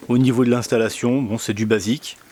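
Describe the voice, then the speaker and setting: A man giving a casual product demonstration indoors, speaking in a hesitant, halting way with many pauses. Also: mid-range voice, steady tone, mellow, slight background noise. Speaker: product reviewer.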